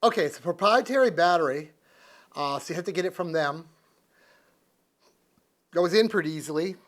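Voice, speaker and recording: A man in his fifties giving a casual hands-on gadget review, plain-spoken and thinking out loud as he goes. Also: on the high side, expressive, moderate pace. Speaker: product reviewer, vlogger. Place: living room and outdoors in a backyard by a canal. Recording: clear background noise, ambient sound.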